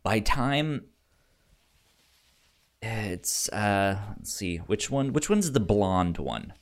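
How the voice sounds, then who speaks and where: A man co-hosting a casual film discussion, speaking haltingly and often repeating sounds and words as he goes. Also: on the low side, sing-song. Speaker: film podcast co-host.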